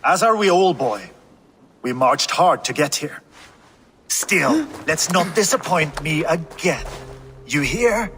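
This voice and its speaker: An actor playing a seasoned, middle-aged Viking warlord in a video game, wry and commanding. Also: male voice, on the high side, lively intonation, takes it slowly, a bit bright, big-room sound, soft background music. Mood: stern, sardonic. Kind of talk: dramatic video game acting.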